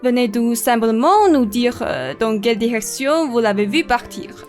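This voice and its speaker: A woman's voice: on the high side, expressive, normal speed, a little mellow, faint music behind, close to an everyday voice. A woman playing a capricious, imperious, bored rich old lady in a comic radio play, with theatrical, exclamatory delivery. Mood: fussy, commanding. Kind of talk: stage-comedy radio play acting in French.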